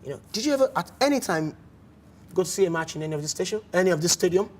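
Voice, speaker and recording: A man with a Nigerian accent hosting a casual sports talk show in a studio, animated and often restarting his words. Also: sits high, very fast. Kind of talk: casual studio chat with phone-in.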